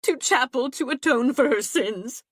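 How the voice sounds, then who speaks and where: A woman voicing a tearful, scheming young mistress, loud, shrill and demanding. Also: expressive, a little slow, dry recording, clean studio sound. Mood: accusing.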